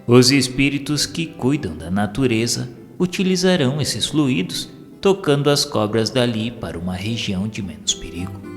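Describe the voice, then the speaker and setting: A Brazilian man voicing a kindly, calm male medium who gives measured, gentle instruction in an audiobook. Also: mid-range voice, lightly acted. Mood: gently instructive. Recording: long reverb with music behind.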